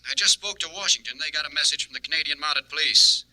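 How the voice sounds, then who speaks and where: An actor playing a businesslike federal narcotics agent in a 1940s radio crime drama, reporting matter-of-factly. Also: male voice, a little high, fast, pushed and bright, no echo, rough recording.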